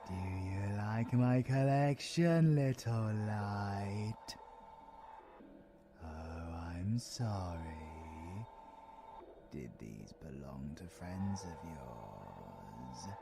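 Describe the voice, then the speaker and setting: A man's voice: deep voice, lively intonation, extremely slow, creaky, over music, heavily acted. A British man playing a sadistic ancient male vampire in an audio roleplay, with a theatrical, mocking, taunting delivery. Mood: menacing, taunting. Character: sadistic grey-skinned ancient vampire monster, male, gleefully predatory. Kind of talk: audio roleplay villain acting.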